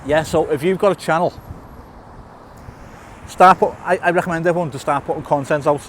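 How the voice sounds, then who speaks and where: A man's voice: fairly high pitch, brisk, a little hiss or hum. A middle-aged man, a lisp and a stutter, talking casually to camera on a walk outdoors. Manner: stutters, repeats and restarts words, fillers. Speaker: YouTuber. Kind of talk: casual walk-and-talk vlog. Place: outdoors in a town, by a tunnel.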